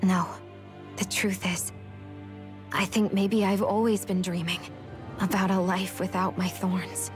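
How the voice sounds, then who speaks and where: Woman acting a slow-speaking, vulnerable fantasy maiden. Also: medium pitch, little pitch movement, over music, close to an everyday voice. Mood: tender, wistful. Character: vulnerable, guarded young cursed maiden in fantasy game. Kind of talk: dramatic video game cutscene acting.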